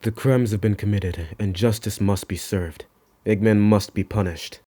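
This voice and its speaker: A man's voice: on the low side, fairly even intonation, moderate pace, dark tone, natural voice. A man voicing a stern, earnest, principled detective in a comic dub, speaking in a formal, precise and serious way. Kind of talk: comic dub character acting. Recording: music behind the voice.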